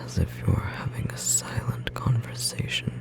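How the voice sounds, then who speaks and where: A performer voicing a confident, tender storm sorcerer partner in a whispered ASMR roleplay, breathy and slightly rough, guiding slowly and soothingly. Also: natural voice. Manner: slow, soothing, intimate guiding delivery.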